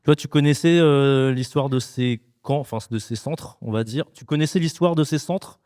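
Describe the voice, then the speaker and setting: A man interviewing a director and actress at a festival, asking questions hesitantly with many filler pauses. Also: medium pitch, a little mellow, smooth, no room sound, clean studio sound.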